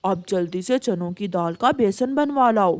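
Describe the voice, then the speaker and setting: A woman voicing a male pigeon in a moral-story cartoon, friendly yet slyly vengeful, with an expressive delivery. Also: mid-range voice, a little slow, no room sound, natural voice.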